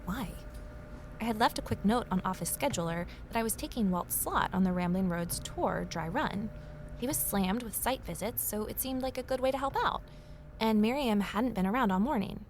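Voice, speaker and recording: A woman's voice: medium pitch, natural voice. Woman playing earnest female investigator and narrator for audio drama. Confiding, intent, mid-range voice over light ambient noise. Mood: anxious, confiding. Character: earnest, uneasy woman amateur investigator narrating her mystery. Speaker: narrator and lead character.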